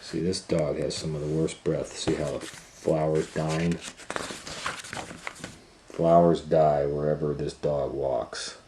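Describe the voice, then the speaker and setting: A man reading a children's picture book aloud as a storytime host, animated and breaking off for chatty asides to the listener. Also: very deep voice, slow pace, pauses often, noisy background.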